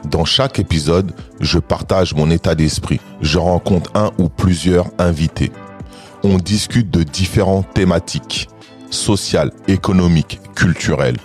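A man hosting a podcast, reading his intro and then asking relaxed, brief questions. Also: low voice, measured pace, faint music behind. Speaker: podcast host. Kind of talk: scripted podcast intro, then casual interview.

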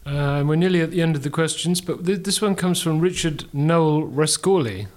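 Male host, voice a bit on the high side, casual panel chat, polite and a little halting.

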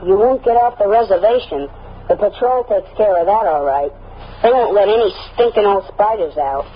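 A male actor playing an eager, brash, excitable young boy in an old radio drama, on a muffled recording. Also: high-pitched, normal speed, husky. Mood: taunting, curious. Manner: excitable, blunt. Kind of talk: 1950s radio drama acting.

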